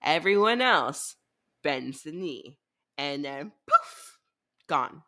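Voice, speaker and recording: A woman's voice: sits low, sing-song, slow, a bit bright. A woman co-hosting a podcast in a casual chat about books. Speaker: podcast co-host.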